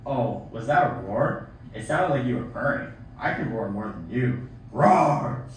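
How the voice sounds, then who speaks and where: A man's voice: mid-range voice, expressive, unhurried, lightly acted. A man voicing a mocking, boastful schoolboy bully in an amateur puppet show. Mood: taunting. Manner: taunting, sneering. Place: theater, camera far from puppet stage. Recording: distant echoey theater recording, faint noise.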